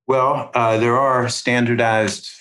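A man speaking as an expert interview guest, explaining in precise, measured academic phrases with deliberate pauses. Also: medium pitch, level tone, a bit bright, clear, resonant tone, poor audio.

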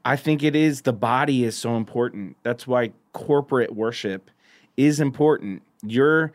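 A man, a worship songwriter, talking earnestly in a casual podcast chat and slowing down to stress his points. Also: mid-range voice.

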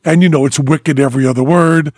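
A man's voice: a little high, steady tone, a little fast, a little mellow, no echo, clean studio sound. A middle-aged man from Western Massachusetts with a faded New England accent, chatting casually as a podcast co-host, laughing often and trailing off mid-sentence. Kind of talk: casual chat, storytelling.

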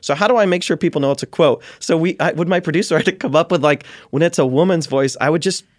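A man speaking as a guest scholar in an interview, explaining in an animated way and acting out questions and quotes. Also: a little high, fast talker, no room sound, clean studio sound.

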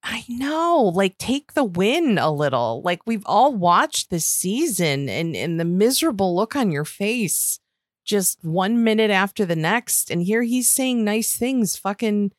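A young adult woman with a Western New York accent co-hosting a podcast, animated and enthusiastic, swearing casually. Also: medium pitch, unhurried, no room sound, clean studio sound. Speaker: podcast co-host. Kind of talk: casual recap chat.

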